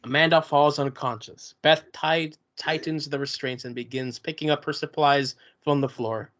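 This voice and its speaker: A man reading stage directions at a table read, in a plain, even way with small stumbles. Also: fairly high pitch, moderate pace. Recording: muffled lo-fi recording.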